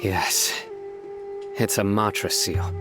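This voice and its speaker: An actor playing a stern, composed young investigator who speaks in a calm, measured, deductive way. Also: male voice, sits low. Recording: game audio with faint music.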